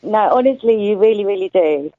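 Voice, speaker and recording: A woman's voice: mid-range voice, expressive, fairly quick, dry recording. A woman in her late thirties rings in to a radio show, talking eagerly and heaping on praise. Her voice comes through a narrow, tinny telephone line. It is dry, with no room sound. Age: 30s. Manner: chatty and effusive. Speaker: caller. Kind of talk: casual phone-in chat.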